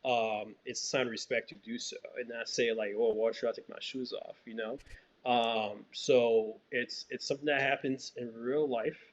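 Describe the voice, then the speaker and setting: A man's voice: mid-range voice, steady tone, normal speed. Man from Haiti with muffled sound. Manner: thoughtful, hesitant with many fillers and restarts. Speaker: filmmaker. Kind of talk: interview answers.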